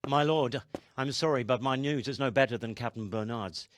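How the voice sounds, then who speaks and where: A fussy, learned coin master, played by a man in a video game, careful and hesitant as he explains himself. His voice sits at an ordinary pitch with a faint hiss behind it. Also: normal speed, lightly acted. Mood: nervous. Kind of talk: video game cutscene acting.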